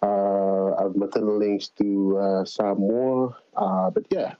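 A man's voice: on the low side, flat delivery, takes it slowly, smooth. A man with a Fijian accent answering casually as an interview guest, speaking as a climate organizer over a remote call. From Fiji. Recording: dull, roomy call audio.

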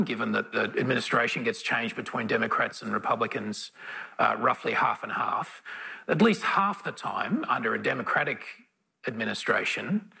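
A man moderating a panel conversation, asking measured questions. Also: medium pitch, gravelly, bright, forceful tone, slightly rough, low-quality recording. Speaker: moderator, host.

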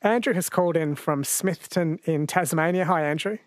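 A woman's voice: low-pitched, moderate pace, dry recording, clean studio sound. A woman hosting casual talk radio, with a wry, curious way of talking. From New Zealand. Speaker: radio host.

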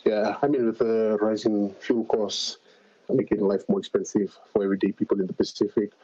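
A man from Fiji, a guest calling in to an interview, talking in a relaxed, halting way with many fillers. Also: fairly low pitch, no echo, lo-fi sound. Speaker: interview guest, climate organizer. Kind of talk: casual remote interview.

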